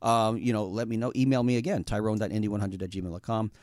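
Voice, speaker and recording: A man's voice: on the low side, fairly even intonation, quick pace, a little mellow, no room sound. A young adult man hosting a casual radio talk show from a studio, rambling with lots of fillers while reading plugs.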